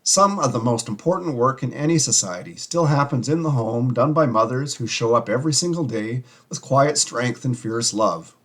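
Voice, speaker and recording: Husky-voiced man hosting a radio show. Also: mid-range voice, normal speed, few pauses, a bit bright. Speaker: news-style show host. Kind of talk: scripted radio-style commentary.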